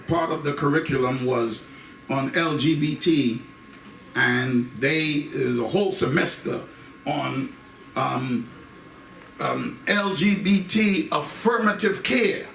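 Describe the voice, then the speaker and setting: A middle-aged American man giving an animated public talk, emphatic, repeating phrases for effect with joking asides. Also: fairly high pitch, vocal fry. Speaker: guest speaker giving a talk on faith and identity. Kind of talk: animated public lecture to a live audience. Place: indoor hall with live audience. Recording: echoey room, distant mic, faint background noise.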